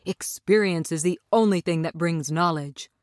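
A woman's voice: lowish voice, normal speed, a little mellow, no room sound, studio quality, lightly acted. A woman voicing a kindly, sheepish little old man, a fraudulent storybook wizard who speaks apologetically. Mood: reassuring. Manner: stuttering, sorrowful.

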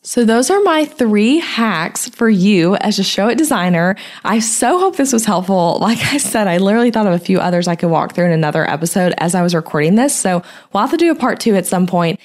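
A young woman hosting her own podcast, talking casually and a little hoarse from a sore throat, dropping in "like" often. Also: medium pitch, lively intonation, brisk, hardly any pauses. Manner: chatty, uses "like" a lot. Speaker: podcast host and web designer. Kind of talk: casual solo podcast talk.

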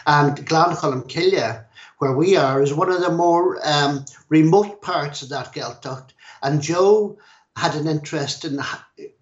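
A middle-aged man with an Irish accent, a language school director, explaining things in a measured way in a podcast interview. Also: a little high, lo-fi sound. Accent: Irish, Donegal. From Ireland.

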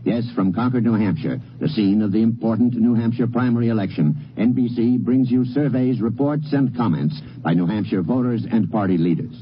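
A man's voice: medium pitch, a little fast, hardly any pauses. A man working as a news correspondent, reading a scripted 1950s radio promo. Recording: dull, faintly noisy old radio recording.

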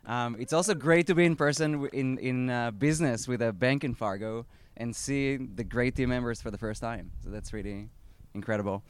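A man in his thirties with an Israeli accent, a startup founder giving a live talk at an outdoor event, halting with many fillers and restarts. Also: mid-range voice, clear, resonant tone.

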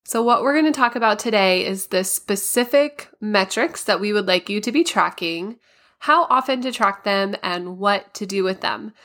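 Slightly raspy, bright-voiced woman podcaster. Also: medium pitch, moderate pace. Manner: practical, step-by-step explaining. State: slightly hoarse from a cold. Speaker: podcast host. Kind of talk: solo podcast monologue.

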